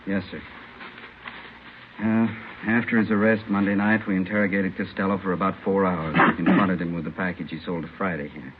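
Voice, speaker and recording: An actor playing a businesslike police captain in an old radio drama, speaking plainly and briskly. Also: male voice, deep voice, steady tone, lightly acted. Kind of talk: 1950s radio acting. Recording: very noisy, muffled old radio recording.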